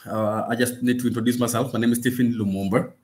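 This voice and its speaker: A man from Western Kenya with a Kenyan accent, a native Swahili and Luo speaker, presenting an informal guide for tourists in a halting way with repeated words and pauses. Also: medium pitch, flat delivery, a little mellow. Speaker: language and culture guide, presenter. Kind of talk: informal explanatory talk to camera for tourists.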